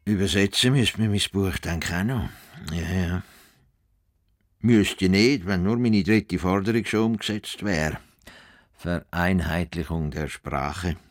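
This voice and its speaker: A man's voice: low voice, slow pace, no echo, studio quality. A man acting an indignant, stubborn old farmer in a radio play, with a Swiss German accent and a grumbling, emphatic manner. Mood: musing. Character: stubborn, indignant old male Swiss farmer and self-appointed world reformer.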